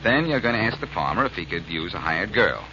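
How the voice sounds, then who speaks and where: A confident sleuth hero in a 1940s radio drama. His low voice moves freely as he banters wryly. The vintage recording is muffled. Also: very animated, brisk, noisy background. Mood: wry, bantering. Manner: wry, bantering. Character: wry, confident male amateur sleuth hero.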